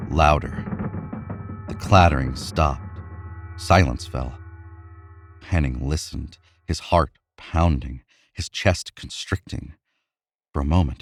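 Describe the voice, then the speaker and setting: A man reading a horror story aloud in a measured, suspenseful way. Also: rumbling low, gravelly. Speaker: narrator. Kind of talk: scripted horror story narration.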